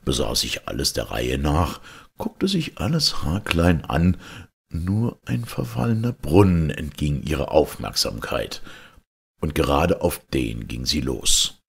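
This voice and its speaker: A man reading a fairy tale aloud as a storyteller, in a theatrical, deliberate and dramatic manner. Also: very deep voice, sing-song, gravelly, husky. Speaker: storyteller, reader.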